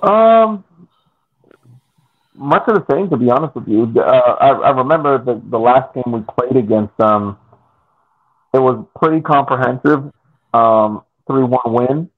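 Male podcast panelist, explanatory and informal, raspy edge, narrow phone-band call audio. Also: mid-range voice, unhurried, long pauses. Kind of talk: casual soccer analysis on a podcast. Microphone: video call.

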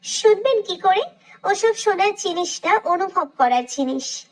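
A woman's voice: very high voice, lively intonation. A woman voicing a feisty, playful young woman, a sheltered daughter, talking cheekily and dramatically. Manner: cheeky, dramatic, quick-tongued. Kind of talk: romantic audio story acting.

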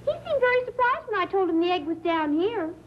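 A perky, naive schoolgirl, very high and lively in pitch. She is earnest and eager, in vintage sitcom style on a slightly noisy recording. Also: fairly quick, dull audio. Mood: innocent, eager. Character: perky, naive, wide-eyed teenage schoolgirl. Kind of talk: 1950s sitcom acting.

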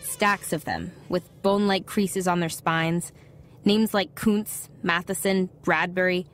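A young woman playing a wayward, bookish teenage girl in an audio fiction drama, wry and excitable. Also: fairly low pitch, unhurried, slight background noise, close to an everyday voice. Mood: wry, hushed intrigue. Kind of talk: audio fiction narration and acting.

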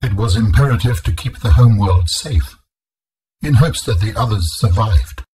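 An actor voicing an ancient, solemn alien in a video game, speaking in formal, measured, grave phrases with a husky tone. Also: male voice, lowish voice, a little mellow, poor audio. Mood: calm, grave. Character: ancient alien architect being, male, solemn and calm.

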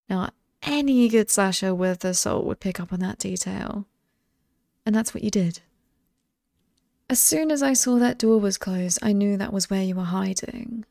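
Woman acting as female slasher killer, British accent, gravelly fry, upbeat and wry, conversational asides, ASMR villain roleplay, dry close recording. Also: medium pitch, a little mellow, no room sound. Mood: wry, instructive. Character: chatty, oddly sweet female slasher killer, apologetic and upbeat.

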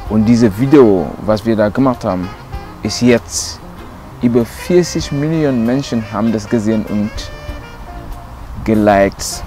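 Slow-spoken Senegalese man over music. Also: on the low side, warm tone. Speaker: griot, percussionist. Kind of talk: documentary interview to camera. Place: outdoors in leafy woodland.

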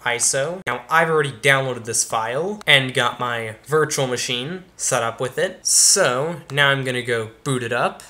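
A young man with a casual, explanatory manner walks viewers step by step through a software install in a tutorial. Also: mid-range voice, normal speed, few pauses. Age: young adult. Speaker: tech YouTuber. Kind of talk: screen-recorded tutorial walkthrough. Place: small room indoors.

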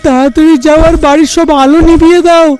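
A man's voice: extremely high pitch, steady tone, fast, a little mellow, faint music behind. A man voicing a frightened young village husband in a cartoon, agitated and dramatic. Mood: worried. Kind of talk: cartoon voice acting.

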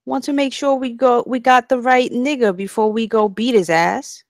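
A woman's voice: a little high, steady tone, normal speed, no room sound, studio quality, natural voice. Woman acting a tough, streetwise young gang leader. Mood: bossy. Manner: commanding, slangy street talk. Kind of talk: table read of a TV drama script.

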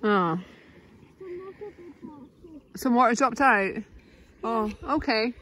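A woman's voice: on the high side, extremely slow, fairly bright tone. A woman in her forties filming her family on a phone, giving a playful running commentary outdoors and in the car. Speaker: vlogger and mother. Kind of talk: casual family vlog narration. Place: outdoors on a beach, then in a car. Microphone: handheld phone camera. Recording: noisy wind and outdoor background.

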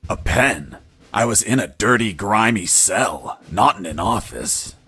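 An actor playing a cocky captured commando pilot in a video game, telling his story in a deadpan, wry way. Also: fairly low pitch, unhurried, breathy edge, exaggerated voice. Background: background music.

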